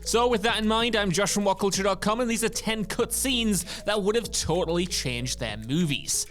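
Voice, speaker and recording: High-pitched man narrating a countdown. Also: animated, normal speed, fairly bright tone. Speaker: video presenter. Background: background music.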